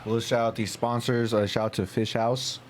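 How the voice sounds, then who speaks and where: A man's voice: mid-range voice, level tone, a little fast, slight background noise. A man hosting a podcast in casual, slangy, sweary banter with friends. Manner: slangy, swearing, repeats "you know what I'm saying". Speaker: podcast host.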